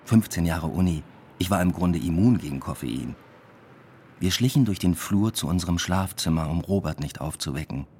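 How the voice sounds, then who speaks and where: An actor playing a weary, introspective academic who tells his own story in measured radio drama narration. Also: low-pitched, a little mellow, a little hiss or hum, close to an everyday voice. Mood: reflective, weary. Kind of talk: scripted first-person radio drama narration.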